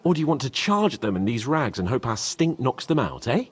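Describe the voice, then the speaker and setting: A man's voice: medium pitch, big pitch swings, a little fast, lightly acted. An actor playing a hot-tempered, sarcastic young nobleman down on his luck in a medieval video game. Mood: sarcastic, exasperated. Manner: sarcastic, swearing, griping. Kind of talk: video game cutscene acting.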